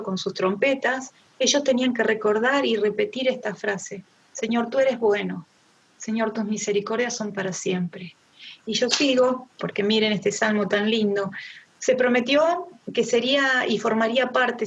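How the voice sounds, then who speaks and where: High-voiced Argentine woman teaching Bible devotionals. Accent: Argentine Spanish. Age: middle-aged. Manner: engaged, addresses listeners directly with rhetorical questions. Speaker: Bible teacher leading a women's group. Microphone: online group call. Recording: dull, lo-fi online meeting audio.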